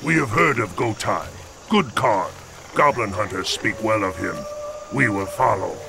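A gruff orc chief in a fantasy game. The voice is low and gravelly, delivering short, plain sentences over clearly audible background noise. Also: male voice, animated, a little slow. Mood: respectful. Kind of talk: video game cutscene acting.

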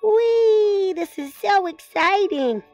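Lady doing a lil girl duckling, really high voice, excitable, quacky, kids story. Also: slow, soft background music, exaggerated voice. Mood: excited. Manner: quacking, exclaiming. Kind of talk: children's storybook character voice.

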